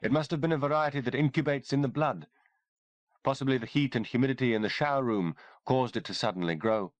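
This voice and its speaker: A man voicing a calm, clinical South African woman doctor with a semi-posh English accent that has South African under it, faintly mocking. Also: mid-range voice, fast, dry recording, lo-fi sound, pitched above the performer's own voice, close to an everyday voice. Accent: semi-posh English over South African.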